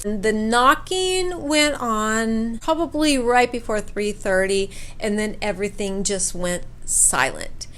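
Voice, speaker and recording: A woman with a fairly high voice shares a personal story in a casual, chatty style. Her delivery is informal and animated, like someone recounting an experience directly to her viewers. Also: slow. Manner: animated retelling, acts out quoted dialogue. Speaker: storyteller vlogger.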